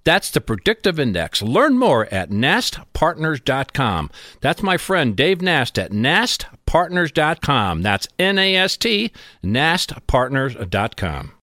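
Guy hosting a radio interview show, pitch all over, casual and direct, really dry close mic. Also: big pitch swings, slow, sharp tone, no room sound. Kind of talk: conversational business interview with sponsor reads.

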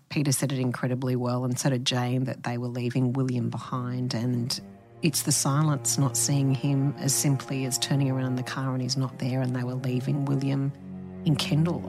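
An Australian woman co-hosting a podcast discussion in a solemn, earnest and deliberate manner. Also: a bass voice, darkish tone. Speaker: podcast co-host.

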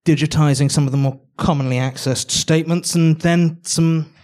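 A man plays an awkward new archivist in a live stage audio drama. He speaks in a halting, formal way, feeling his way through each thought. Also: fairly high pitch, fairly even intonation, a little mellow, natural voice. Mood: awkward, hesitant.

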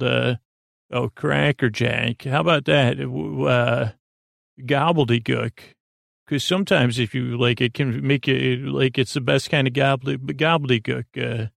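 A man with a gravelly, creaky voice rambles through an improvised bedtime monologue as a sleep podcast host. He hesitates, trails off and circles back, all captured in a close, dry sound. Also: mid-range voice, dry recording.

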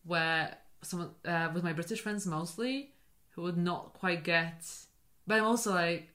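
A woman's voice: lowish voice, unhurried, a bit bright. A young woman from Poland with a Polish accent, co-hosting a casual chat and jumping between tangents. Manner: scattered, jumps between tangents. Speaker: podcast co-host.

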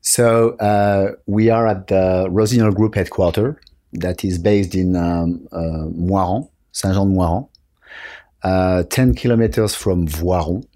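Deep, mellow French-accented man. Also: fairly even intonation, a little slow, no room sound, clean studio sound. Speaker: interview guest, company marketing director. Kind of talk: conversational interview answers. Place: office.

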